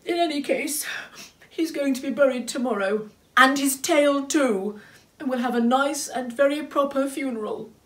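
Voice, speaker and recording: Lady doing a practical kindly storybook woman, calm, raised pitch, echoey room. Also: moderate pace, far from the mic. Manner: plain-spoken, kindly.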